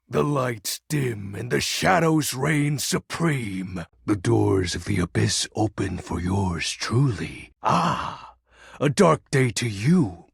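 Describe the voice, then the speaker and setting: A man voicing a melodramatic, pompous dark lord, darkness personified, in a video game, with a raspy, gravelly voice and grandiose archaic diction. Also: lowish voice, no echo, studio quality, full character voice. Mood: ominous, grandiose. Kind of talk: video game character voice acting.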